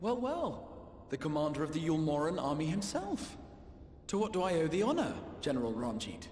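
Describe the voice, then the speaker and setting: Courteous, steely mystic ruler, echoing. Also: male voice, a little high, very animated, a little mellow. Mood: wry courtesy. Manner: measured, formal, theatrically polite. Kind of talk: dramatic video game cutscene acting. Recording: echoey hall reverb with music behind.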